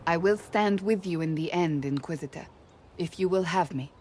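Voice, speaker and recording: An actress playing a devout, composed woman warrior and advisor in a video game, speaking in a measured, formal, solemn manner. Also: fairly low pitch, darkish tone, slight background noise, lightly acted. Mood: solemn, resolute. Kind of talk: video game cutscene acting.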